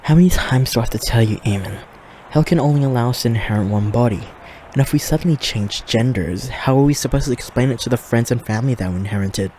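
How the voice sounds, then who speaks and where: A man's voice: medium pitch, fast, warm tone, slight background noise, close to an everyday voice. A man playing a flirty, teasing demon who reports and hosts in a fiction podcast. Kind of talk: fiction podcast banter and monologue.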